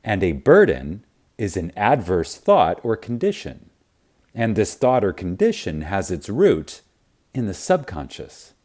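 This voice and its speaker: A man with a low, deep voice narrates a spiritual teaching audiobook. He reads very slowly and reverently, with deliberate pauses. His pitch moves widely as he stresses key words for emphasis. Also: wildly swooping pitch, a little mellow.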